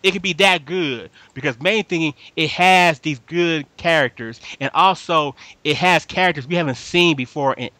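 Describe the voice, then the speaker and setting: A man with a fairly high, forceful voice talks casually as a podcast host. He is emphatic and slangy, circles back to repeat his points and keeps checking he is understood. The sound is dry, with little room to it. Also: normal speed, hardly any pauses, no room sound. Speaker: podcast host reviewing anime. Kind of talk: casual fan chat.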